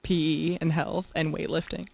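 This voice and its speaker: A woman from New York, a high school teacher, giving a brief self-introduction in an auditorium with some hesitant fillers. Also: little pitch movement, moderate pace, gravelly. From Kingston, New York. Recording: noisy room recording.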